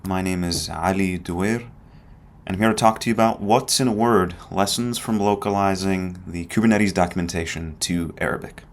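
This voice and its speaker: A man from Egypt giving a conference talk in a conversational way, often checking in with the audience with a quick "right?". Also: low voice. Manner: conversational, often adds tag questions. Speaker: conference speaker.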